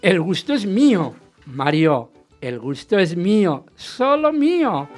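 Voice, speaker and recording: A man's voice: sits high, very animated, slow, faint music behind, full character voice. An actor from a radio play, playing a jealous, grudging husband who tells his own story. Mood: wry, grudging. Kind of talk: radio drama acting with first-person narration.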